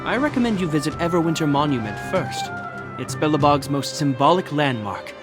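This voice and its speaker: Dutiful guard officer, played by a man for a game: fairly high male voice, polite, formal, music underneath. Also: expressive, brisk, close to an everyday voice. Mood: helpful. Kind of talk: English video game dub acting.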